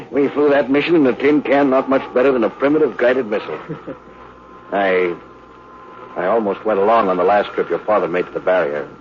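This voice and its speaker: A man playing a commanding, short-tempered but loyal spaceship captain in a 1950s radio drama, with an American accent and clipped, gruff authority. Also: medium pitch, normal speed, long pauses, close to an everyday voice. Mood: measured, reflective. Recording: dull, noisy old radio recording.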